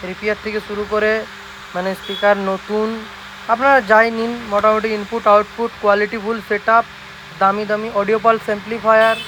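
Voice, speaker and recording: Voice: medium pitch, level tone, a little fast, fairly bright tone, slight background noise, low-quality recording. A shop owner with a West Bengal accent giving an informal, insistent, repetitive promotional talk to viewers.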